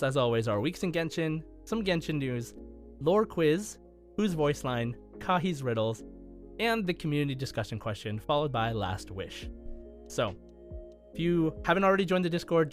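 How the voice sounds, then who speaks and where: A man hosting a gaming podcast, talking casually. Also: fairly high pitch. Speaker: podcast host.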